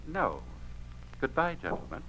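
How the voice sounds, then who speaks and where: An actor playing a smug, cold communist censor in a 1950s radio drama, pompous and formal with polite menace. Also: male voice, mid-range voice, very animated, measured pace, background noise. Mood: smoothly condescending. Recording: muffled old radio recording.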